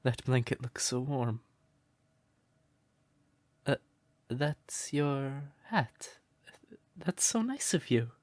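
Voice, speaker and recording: A man playing a shy, sweet, curious crow turned human in a roleplay audio, speaking gently and breathily with hesitant stammers. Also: mid-range voice, sing-song, drawn-out words, long pauses, no echo. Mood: shy, grateful. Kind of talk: soft roleplay audio, intimate character acting.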